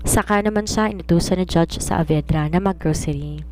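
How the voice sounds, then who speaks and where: A Filipino woman reads a romance novel aloud as a storyteller. Her voice sits a little low for a woman, and she follows the script in narration style. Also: normal speed, darkish tone, a little hiss or hum.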